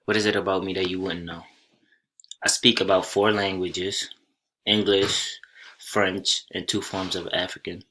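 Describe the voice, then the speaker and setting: A young college student from Senegal, raised speaking Soninke, walks his class through a slide presentation. His voice sits low and holds a bright, forward edge. He talks plainly and without hurry, and now and then he starts a phrase over. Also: flat delivery. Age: young adult. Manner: plain, unhurried, with small restarts.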